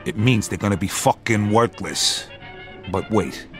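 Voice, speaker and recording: A man playing a hard-nosed American mobster from a crime game. His voice sits low, and he talks business in terse, blunt lines. Soft music runs underneath. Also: measured pace, natural voice. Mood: irritated. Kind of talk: video game cutscene acting.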